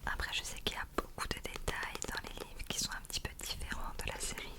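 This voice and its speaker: Whispering woman, hushed ASMR delivery. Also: quick pace. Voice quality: breathy, unvoiced whisper. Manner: soft, hushed, intimate delivery. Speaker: ASMR content creator reading a book aloud. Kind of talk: whispered ASMR reading and chat.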